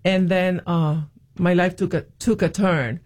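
A woman's voice: fairly low pitch, fairly even intonation, a little slow, a little mellow. Hesitant woman guest. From Puerto Rico. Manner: hesitant, many ums and restarts. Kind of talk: interview, telling her faith story.

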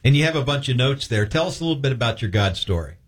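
Older male host, chatty and easygoing, lively up-and-down voice, stumbles over his restarts. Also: mid-range voice, fast talker, husky. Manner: chatty, stammering restarts, laughs easily.

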